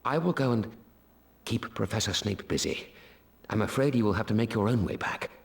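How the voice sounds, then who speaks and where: An actor playing a gentle, weary male teacher in a video game, speaking in a hesitant, soft-spoken way. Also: fairly low pitch, moderate pace, creaky.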